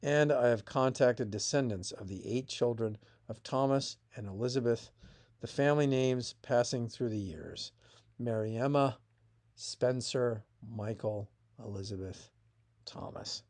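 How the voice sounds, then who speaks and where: A man narrating a scripted piece of family history as its author, in a measured reading with emphatic phrase openings that fall away. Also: mid-range voice, darkish tone. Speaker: author reading own essay.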